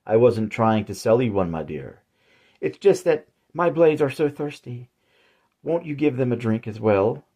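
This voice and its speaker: A man's voice: mid-range voice, expressive, a little slow, mellow. A man voicing a cruel old male cannibal killer who talks in a sly, gloating, menacing way. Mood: sinister.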